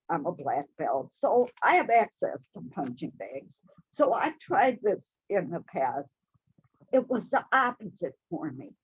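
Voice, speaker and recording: A woman giving a gentle, measured spiritual talk to a church congregation. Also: lowish voice, breathy edge, highs cut off. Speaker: church speaker. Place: church hall, also streamed to video call.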